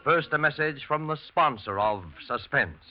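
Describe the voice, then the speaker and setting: A man's voice: fairly high pitch, expressive, normal speed. A man announcing a 1940s radio suspense program in a formal, dramatic manner. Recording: muffled old radio, loud music behind.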